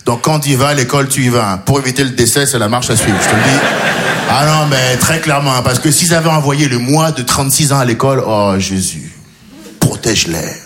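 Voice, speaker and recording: A man performing live stand-up comedy in a theatrical way that bursts into shouted outbursts. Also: mid-range voice, brisk, a crowd in the background. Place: comedy stage in front of audience. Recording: echoey live venue, loud audience laughter.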